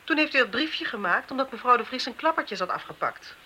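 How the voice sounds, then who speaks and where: A woman's voice: on the high side, animated, sharp tone, slight background noise. A woman playing a composed police investigator in a radio play, firm and probing yet compassionate. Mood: calm advising. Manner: measured, firm, counselling. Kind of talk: Dutch radio play acting.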